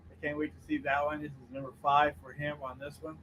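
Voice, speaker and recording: A soft-spoken man co-hosting a casual movie review podcast, talking quietly. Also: a little high, completely flat delivery, fast, rough recording. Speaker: podcast co-host.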